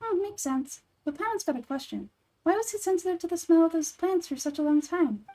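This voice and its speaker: A woman voicing a small, childlike fairy companion from a game, bubbly, excitable and curious. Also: very high voice, brisk, pitched above the performer's own voice. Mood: curious, surprised. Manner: bubbly, talks in third person. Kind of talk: video game dialogue read-through.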